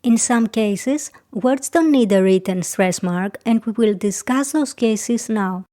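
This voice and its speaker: A woman with a Greek accent talks through a beginner lesson in a scripted, measured way. She speaks slowly and carefully, sounding out each point clearly for learners. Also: a little high, dark tone. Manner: slow, careful, clearly enunciated. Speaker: language teacher.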